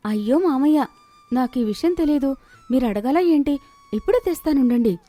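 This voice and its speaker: A woman acting a high, mellow young village daughter. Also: expressive, normal speed. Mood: feigned humility. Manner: respectful, sweetly reassuring.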